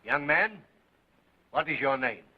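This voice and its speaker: A curt, scornful police prefect who questions witnesses in a dry, clipped way. His voice is fairly high. The sound is thin and tinny, like a 1930s film. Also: animated, unhurried, slight background noise. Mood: stern.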